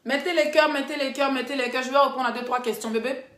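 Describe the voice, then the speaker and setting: A woman's voice: sits high, steady tone, quick pace, a bit bright. A woman telling stories casually to followers on a live stream, addressing them directly and repeating herself for emphasis. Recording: echoey room.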